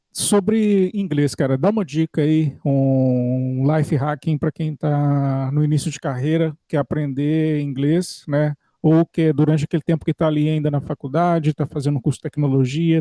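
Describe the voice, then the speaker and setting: A man's voice: on the high side, warm tone, dry recording, studio quality. A man from Brazil hosting a podcast in a casual interview, chatty and informal. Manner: chatty, informal, uses "cara". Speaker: podcast host.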